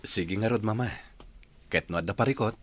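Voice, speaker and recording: A man acts out a dutiful husband and son in a radio drama. His voice is fairly low, and the character comes across as agreeable and earnest. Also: a little fast, natural voice. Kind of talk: Ilocano radio drama acting.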